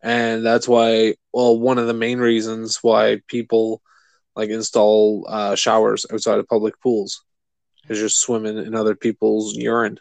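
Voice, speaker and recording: A man co-hosting a casual podcast, rambling and animated, swearing freely. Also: fairly low pitch, unhurried, creaky, dry recording. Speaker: podcast co-host. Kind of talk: casual chat.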